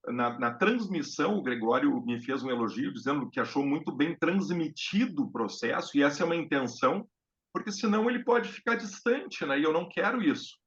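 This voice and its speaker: A man from Brazil hosts a casual live-stream lecture, stopping to restart his sentences and filling pauses as he goes. His voice has a gravelly edge. The sound is dull and lo-fi, like a home stream. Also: a little high, lively intonation, a bit bright. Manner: hesitant, many fillers and restarts. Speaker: host of a live talk on music therapy.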